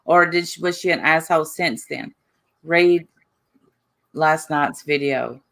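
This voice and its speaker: A woman with a Southern American accent co-hosting a casual chat, animated and teasing as she answers viewers. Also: deep voice, slow pace, vocal fry. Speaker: co-host of a chat stream.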